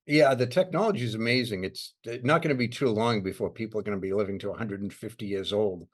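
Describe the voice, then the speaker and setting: A man's voice: lowish voice, fast, breathy edge. A man hosting a podcast, chatting casually as he interviews a guest. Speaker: podcast host.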